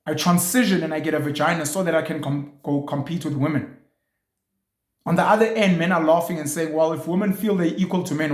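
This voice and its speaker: A man's voice: fairly high pitch, a little mellow, roomy sound. A man hosting his own show, speaking in an opinionated monologue full of provocative rhetorical questions and emphatic points. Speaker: show host.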